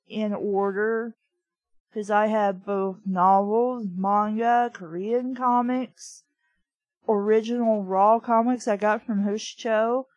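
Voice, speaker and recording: A young adult woman hosts a casual read-aloud with her own commentary. Her delivery is blunt and opinionated, at an unhurried pace. The sound is dry and close, with no room echo. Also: medium pitch, a little mellow. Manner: blunt, opinionated, swears freely. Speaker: host reading a children's book aloud with commentary.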